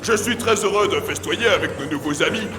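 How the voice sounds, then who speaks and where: A man playing a festive medieval host, declaiming slowly and ceremonially to guests in a comedic audio drama, in an echoing hall. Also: high voice, noisy background, natural voice. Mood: solemn, festive. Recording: very echoey hall, crowd and music.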